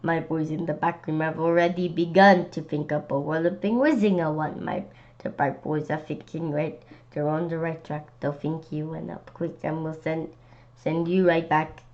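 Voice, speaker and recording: A woman's voice: low voice, steady tone, normal speed, a little mellow, lightly acted. A woman voicing a boastful, scolding male army chief from a storybook, on a slightly muffled recording. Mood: dismissive, boastful.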